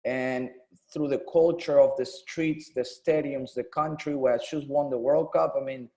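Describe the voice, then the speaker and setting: A man in his thirties, originally from Argentina, speaks as a podcast guest with a mellow, warm tone. He talks in a relaxed, storytelling way, filling pauses with "um" and "you know". His pitch sits in an ordinary middle range. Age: 30s. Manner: relaxed, conversational storytelling with many "um"s. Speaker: guest, former pro player and coach. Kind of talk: casual interview.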